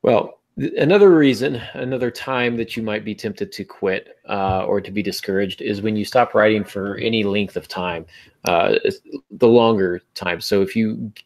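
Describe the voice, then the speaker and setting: Guy hosting a podcast, low creaky voice, chatty and encouraging, talks right at you. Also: moderate pace, darkish tone. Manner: encouraging, addresses listener directly. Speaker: podcast host. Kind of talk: conversational advice to writers.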